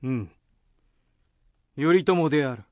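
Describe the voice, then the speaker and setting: A man's voice: fairly high pitch, expressive, slow pace, a little mellow, dry recording. A man acting in a Japanese radio drama as a dignified, composed samurai commander, with lordly, archaic speech. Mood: dignified, approving.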